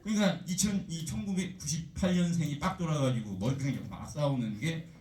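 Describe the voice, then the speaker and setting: Guy teacher lecturing, chatty and animated, asking the class stuff all the time, echoey room, mic a bit far off. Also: fairly high pitch, fairly quick. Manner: chatty, animated, full of rhetorical questions.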